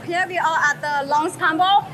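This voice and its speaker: A woman from Thailand talks casually and upbeat in an interview clip. Her voice is very high and bright. A faint hiss sits behind her. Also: fairly even intonation, normal speed. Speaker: food event organizer.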